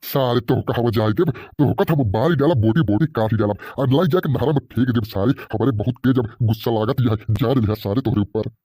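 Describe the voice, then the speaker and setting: A man's voice: medium pitch, normal speed, hardly any pauses, warm tone, no echo, clean studio sound, natural voice. A man with an eastern Hindi belt accent voicing an angry, scolding villager in a comic cartoon, blustering threats. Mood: furious, threatening. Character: angry male villager threatening to beat a trespassing lover.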